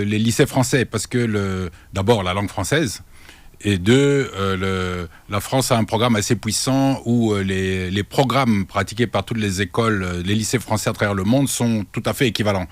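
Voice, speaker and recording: An older man from Congo, a guest in a radio interview, speaking haltingly with many restarts. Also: on the low side, no room sound. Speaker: guest, banking consultant.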